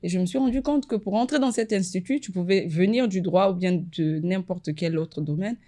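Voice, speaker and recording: A woman, an environmental lawyer from the Republic of the Congo, answering interview questions in a measured, casual way. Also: fairly low pitch, dark tone. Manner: measured, repeats "effectivement" often.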